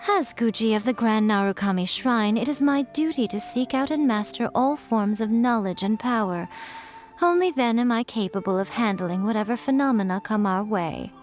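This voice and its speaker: A woman playing a self-assured, teasing fox spirit shrine maiden in a video game, with playful, coy and deliberate phrasing. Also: fairly high pitch, lightly acted. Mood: composed, curious. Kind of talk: polished video game character acting. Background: music.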